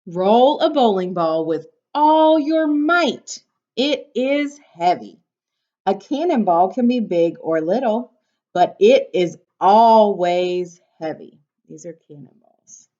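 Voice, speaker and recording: A woman's voice: mid-range voice, animated, slow, mellow. A woman teacher reading a picture book aloud to young children, instructing and prompting them with questions. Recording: dull, lo-fi sound.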